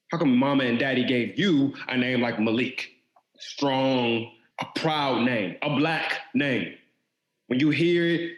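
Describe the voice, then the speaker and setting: A man's voice: mid-range voice, natural voice. Brooding younger brother, acted by a man, echoey room. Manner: earnest, informal, wounded. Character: Shawn, brooding, insecure younger brother, artistic. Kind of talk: audio drama acting. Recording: echoey, roomy sound.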